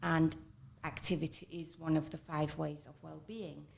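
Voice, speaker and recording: A woman hosting a radio talk show in a slightly low voice. She speaks in a measured, affirming way and echoes her guest's points back. A faint hiss runs under the studio sound. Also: flat delivery, gravelly, darkish tone. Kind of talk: radio interview.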